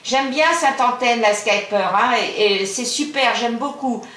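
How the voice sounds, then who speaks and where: A woman's voice: a little high, unhurried, a bit bright, a little hiss or hum. A woman chatting casually as an amateur radio operator, explaining things in short, encouraging phrases, recorded indoors by a camera at the radio desk. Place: small radio shack indoors. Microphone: camera filming the radio desk. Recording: echoey room, camera microphone.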